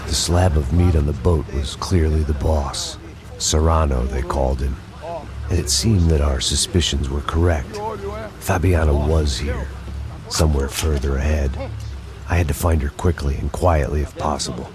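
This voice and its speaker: An actor playing a cynical, hard-drinking middle-aged ex-cop, narrating a video game in dry noir voice-over. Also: male voice, rumbling low, steady tone, normal speed, a crowd in the background. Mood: grim. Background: game score music.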